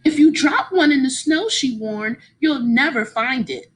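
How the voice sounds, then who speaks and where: Animated, higher-pitched woman reading to children. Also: breathy edge. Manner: expressive, encouraging, pauses to explain words and ask questions. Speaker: teacher reading a picture book aloud to children. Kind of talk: animated children's read-aloud with questions to listeners.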